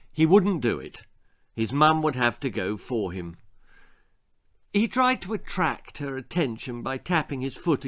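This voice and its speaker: A man reading his own children's story as an expressive, theatrical narrator with deliberate phrasing. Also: mid-range voice.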